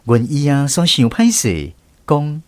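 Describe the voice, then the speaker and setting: A man narrating a story in a gentle, nostalgic, unhurried way. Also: a little high, big pitch swings, no room sound. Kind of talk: scripted storytelling narration, reminiscing. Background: clear background music.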